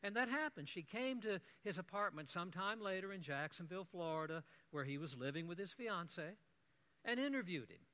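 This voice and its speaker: Bright-voiced preacher telling a story. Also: male voice, high voice, brisk. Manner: animated storyteller, wry asides. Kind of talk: sermon storytelling.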